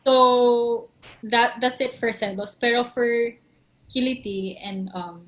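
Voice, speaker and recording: A woman's voice: fairly high pitch, takes it slowly, fairly bright tone, low-quality recording. A woman, a songwriter in a band, answering interview questions casually and mixing English with Tagalog while she thinks aloud.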